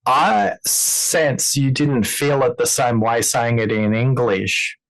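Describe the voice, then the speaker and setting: A man hosts a podcast conversation and speaks in a measured, deliberate way. He coaches his listeners and shapes each point with care. His pitch sits in a middle range. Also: low-quality recording.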